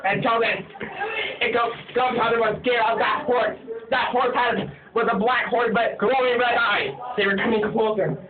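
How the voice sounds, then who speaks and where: A man telling a spooky story aloud in an excited, dramatic way, halting and repeating himself in run-on sentences. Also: very high voice, over music. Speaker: amateur storyteller. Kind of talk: excited spoken retelling of a spooky story, acting out cries and quotes. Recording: tinny phone-like sound, distant, echoey, loud chatter. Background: people talking loudly nearby.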